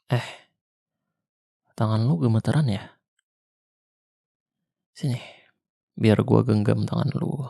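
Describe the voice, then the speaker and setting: A young man with a Jakarta slang accent playing a weary ex-soldier survivor of a zombie apocalypse, speaking softly and intimately in an ASMR roleplay. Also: on the low side, fairly even intonation, vocal fry, no room sound, studio quality, heavily acted. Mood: tender. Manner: soft, slow, intimate, half-whispered. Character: weary male ex-soldier survivor, tender protector. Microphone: close mic.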